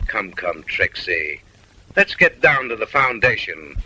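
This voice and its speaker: A man's voice: fairly low pitch, very animated, a little slow, pushed and bright, a little hiss or hum, low-quality recording. A man narrating a comic short film in voice-over, mock-refined and wry, lecturing ladies with teasing, suggestive asides.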